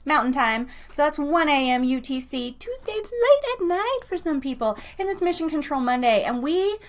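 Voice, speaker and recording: A woman with a fairly high voice hosts a live online show. She chats casually and slangily, telling things in an animated way. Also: moderate pace.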